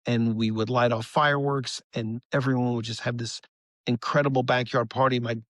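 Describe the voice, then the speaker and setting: A man's voice: medium pitch, flat delivery, moderate pace, fairly bright tone, no echo, clean studio sound. Earnest, rambling American man telling stories. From United States. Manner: earnest, rambling, repeats phrases. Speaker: rock musician, interview guest. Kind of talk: casual storytelling interview.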